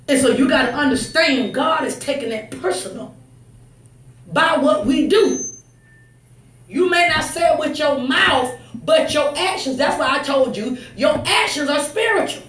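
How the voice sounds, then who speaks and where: A woman's voice: sits high, a bit bright. A woman preacher giving a fervent sermon, exhorting emphatically, swinging between conversational asides, scripture reading and raised-voice declarations. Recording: echoey room, distant mic, faint noise.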